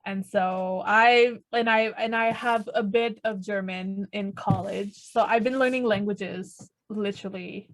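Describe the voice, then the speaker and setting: A woman's voice: mid-range voice, fairly even intonation, unhurried, hardly any pauses, rough recording. A young woman whose first language is French, co-hosting a podcast in casual chat and telling stories with frequent restarts. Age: young adult. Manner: chatty storyteller with frequent restarts and laughter. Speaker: podcast co-host.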